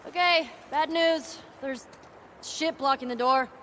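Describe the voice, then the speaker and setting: A woman's voice: high-pitched, slow pace, fairly bright tone. An actress playing a spirited, cheeky teenage girl with an American accent in a naturalistic video game, talking in a casual, teasing way. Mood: playful. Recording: outdoor ambience and water noise.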